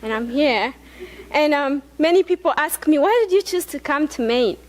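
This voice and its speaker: A woman's voice: sits high, animated, normal speed, poor audio. A young woman from Rwanda gives an earnest, conversational talk on a large stage, speaking into a headset mic with frequent fillers and restarts. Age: young adult. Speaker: student speaker. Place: large dark auditorium stage.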